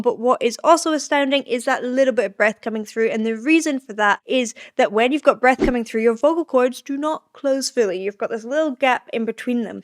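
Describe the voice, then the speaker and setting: A woman with a high voice, a vocal coach enthusiastically explaining singing technique while reacting to a song. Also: dry recording, studio quality.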